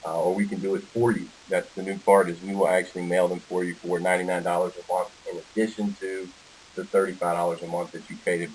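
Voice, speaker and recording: A man's voice: low voice, little pitch movement, fast, a little mellow, poor audio. An American man giving an informal sales presentation on a conference call, walking through slides as the presenter. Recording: hissy conference call audio.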